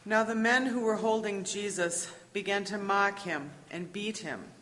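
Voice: medium pitch, measured pace, fairly bright tone. A narrator reading scripture as a dramatic narration. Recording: echoey church hall, long reverb.

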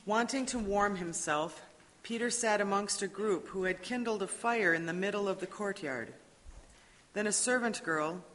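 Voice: medium pitch, a bit bright. A narrator reading scripture as a dramatic narration. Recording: echoey church hall, long reverb.

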